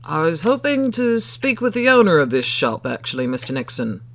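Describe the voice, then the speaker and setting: A man voicing a curious mid-thirties gentleman customer in a storybook, with a questioning, insistent manner. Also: fairly high pitch, big pitch swings, normal speed, creaky, voice pushed up, full character voice. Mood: casual.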